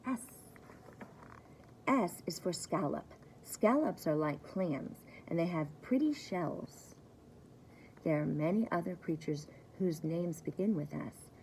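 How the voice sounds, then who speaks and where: Slow, low-pitched woman reading to young children. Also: animated, darkish tone, a little hiss or hum, poor audio. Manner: simple, deliberate teaching, repeats key words. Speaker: pre-K teacher reading aloud. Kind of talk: children's story time read-aloud.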